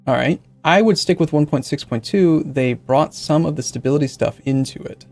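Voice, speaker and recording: Streamer type: young man, slightly high voice, loose rambling chat, faint music underneath. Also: moderate pace, darkish tone. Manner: rambling, self-correcting, reads and answers chat. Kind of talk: casual live-stream chatter while setting up a game.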